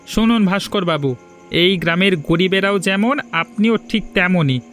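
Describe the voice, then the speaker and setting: Cartoon role: stern, righteous country doctor, played by a man, high-pitched and sermonising, over music. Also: normal speed, a bit bright. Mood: admonishing, encouraging. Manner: preachy, admonishing. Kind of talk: Bengali moral cartoon dubbing.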